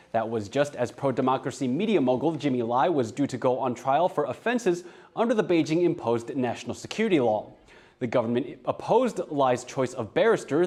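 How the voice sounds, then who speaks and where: A man anchoring a television news program, reading scripted stories in a crisp, formal way. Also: mid-range voice, darkish tone.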